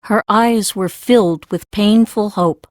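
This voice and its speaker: Female narrator for fiction audiobook. Medium pitch, scripted storytelling, dry studio recording. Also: slow, dry recording.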